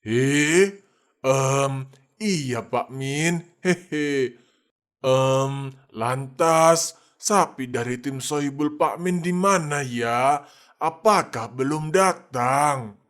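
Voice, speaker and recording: A man voicing a calm, polite middle-aged male village cleric in a cartoon, speaking softly and hesitantly. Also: medium pitch, extremely slow. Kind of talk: cartoon voice acting.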